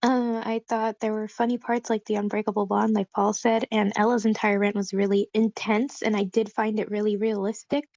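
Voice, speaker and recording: A woman's voice: mid-range voice, little pitch movement, moderate pace, creaky, hardly any pauses, a little mellow, no room sound, muffled recording. A woman giving casual, tentative, self-deprecating feedback in an online group discussion. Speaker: table read participant giving feedback. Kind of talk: casual spoken critique.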